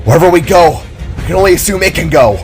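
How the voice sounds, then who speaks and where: A man's voice: a little high, expressive, quick pace, soft background music, pitched above the performer's own voice. A man voicing an analytical, dry-witted male adventurer in an audiobook, musing and wordy. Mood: awed, worried. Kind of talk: dramatic audiobook character acting.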